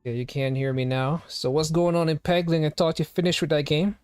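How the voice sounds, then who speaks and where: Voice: medium pitch, fairly even intonation, fairly quick, darkish tone, clean tone, dry recording, clean studio sound. Rambling streamer quizzing chat. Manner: rambling, talks to chat with many questions. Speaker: live streamer. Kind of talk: casual live-stream chatter. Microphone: home streaming microphone.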